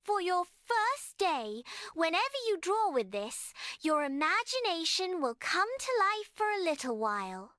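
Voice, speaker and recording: A playful little storybook sprite, with a very high voice that moves up and down a lot. It is friendly and childlike, taking its words slowly. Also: female voice, very animated, bright, forceful tone, no room sound, clean studio sound, exaggerated voice. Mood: playful, welcoming. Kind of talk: children's bedtime story character acting.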